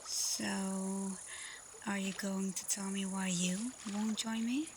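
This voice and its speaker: A woman playing a caring, teasing female alpha werewolf in an intimate, softly spoken ASMR roleplay. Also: mid-range voice, steady tone, background noise, lightly acted. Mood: playful teasing. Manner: playful, unhurried, soft, at times whispering. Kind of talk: ASMR roleplay, intimate acting addressed to listener.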